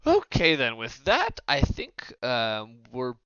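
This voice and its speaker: Bright-voiced, insistent man podcasting. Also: mid-range voice, wildly swooping pitch, takes it slowly, smooth, dry recording. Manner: opinionated, insistent, swears freely. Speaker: podcast host. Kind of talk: casual podcast debate. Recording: slightly muffled, lo-fi dry sound.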